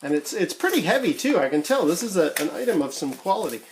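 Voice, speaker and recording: A casual YouTuber from Canada with a lively, swinging pitch that sits at a middling height. They ramble and hesitate while thinking aloud, on an amateur home recording with faint background noise. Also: fast talker. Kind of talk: casual unboxing vlog.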